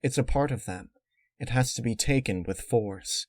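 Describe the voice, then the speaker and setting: A man voicing a cold, calculating female unicorn villain who explains menace in a measured, matter-of-fact way. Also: mid-range voice, darkish tone, no echo, studio quality, close to an everyday voice. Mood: calm, grave.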